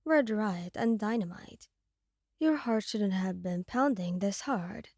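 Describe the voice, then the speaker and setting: A woman's voice: mid-range voice, expressive, slow pace, darkish tone, no echo, clean studio sound. A woman giving dramatic, scripted second-person narration for an ASMR audio roleplay.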